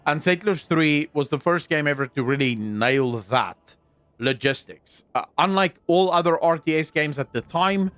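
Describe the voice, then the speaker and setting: A man's voice: on the high side, lively intonation, normal speed, a bit bright, no room sound. A South African man streaming a game, chatting casually with viewers and swearing now and then. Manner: chatty, swears casually, reads and answers chat. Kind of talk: casual gameplay livestream chat.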